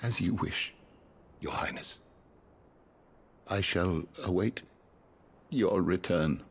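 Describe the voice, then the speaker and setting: A man's voice: sits low, slow pace, breathy edge, heavily acted. A man playing a grave, kindly scholar in a video game, speaking formally and solemnly. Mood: deferential. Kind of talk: dramatic video game acting. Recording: background music under the voice.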